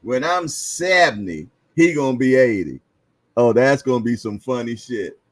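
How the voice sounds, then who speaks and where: A man's voice: medium pitch, expressive, normal speed, dry recording. A man in his fifties hosting a boxing livestream, chatting casually and reading viewer comments, chuckling and repeating phrases with slangy banter. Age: 50s.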